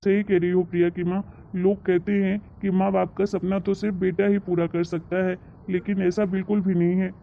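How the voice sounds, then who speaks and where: A woman voicing a proud, gentle middle-aged father in a family cartoon, supportive and fatherly. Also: on the low side, flat delivery, a little fast, vocal fry, few pauses. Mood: proud, curious. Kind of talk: Hindi cartoon moral-story dubbing. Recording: tinny phone-like sound, faint noise.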